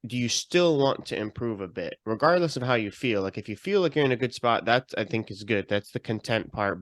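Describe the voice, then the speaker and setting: A man's voice: lowish voice, no echo. A young adult man hosting a podcast, talking and asking questions in a casual, conversational style. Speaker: podcast host.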